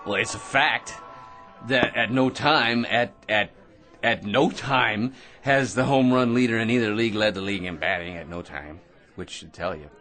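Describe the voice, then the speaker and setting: A man's voice: on the low side, normal speed, sharp tone, faint music behind. An actor playing an eager, self-important American office bore who rambles and laughs at his own remarks in a radio drama. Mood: smug, insistent.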